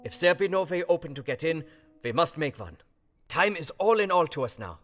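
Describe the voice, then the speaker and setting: An actor playing an older professor in an audio drama, urgent, grave and solemn, speaking in a dramatic, breathless way. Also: male voice, a little high, expressive, fairly quick, a bit bright, faint music behind. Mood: urgent resolve.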